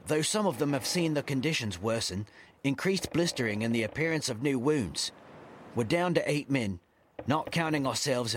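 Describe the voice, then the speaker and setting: A man's voice: medium pitch, close to an everyday voice. A male actor plays a cautious first mate in a seafaring audio drama. His voice sits in the middle register, formal and respectful, as a subordinate addressing his captain. A little background noise sits under it. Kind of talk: nautical audio drama acting.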